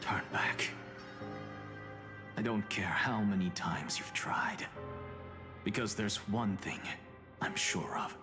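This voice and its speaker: Low, stern, hushed young warrior. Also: male voice, slow, long pauses. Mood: grave reproach. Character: stern, perceptive young male warrior confronting an ally. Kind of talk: dramatic video game cutscene acting. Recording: reverberant hall sound, music behind.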